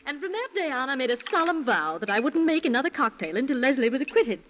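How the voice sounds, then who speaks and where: An actress playing a chatty, genteel society hostess in a 1940s radio drama, with a breezy, sociable manner. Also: female voice, sits high, sing-song, fast. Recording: muffled, hissy 1940s radio broadcast.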